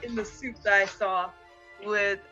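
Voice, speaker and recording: A woman's voice: mid-range voice, steady tone, a little slow, sharp tone, dull audio, soft background music. A woman taking her turn as a player in a tabletop role-playing game, in casual chat.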